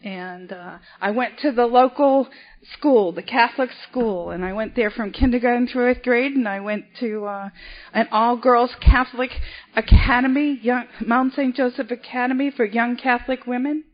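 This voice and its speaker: Woman, convention speaker, high voice, restarts often, muffled hall recording. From South Boston, Massachusetts. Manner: self-deprecating, halting, frequent restarts. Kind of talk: personal recovery story at a podium.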